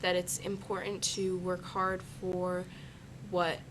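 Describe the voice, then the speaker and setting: A young woman student on a discussion panel, speaking in a halting, measured way and restating her points. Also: completely flat delivery. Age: teens to young adult. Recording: clear background noise and rumble.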